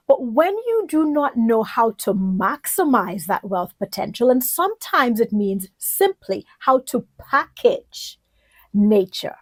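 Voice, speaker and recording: A woman from the Bahamas giving an instructional lecture in a deliberate, emphatic way, often posing rhetorical questions. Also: a little high, darkish tone, no room sound. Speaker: political leadership lecturer.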